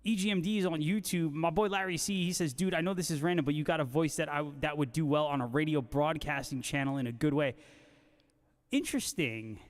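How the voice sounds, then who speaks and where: A man's voice: fairly high pitch, brisk. A man hosting a casual guitar livestream, reading out viewer comments and bantering with joking, slangy talk. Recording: long hall-like reverb on voice.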